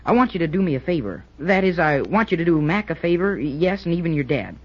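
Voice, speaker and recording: A muffled old radio recording of an eager schoolboy cadet. His voice is on the high side and swings up and down freely as he chats earnestly and jokes. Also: big pitch swings, fast talker. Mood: eager, confiding. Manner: chatty, boyish, earnest explaining. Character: eager, joking schoolboy cadet named Jerry, male. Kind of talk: old-time radio drama acting.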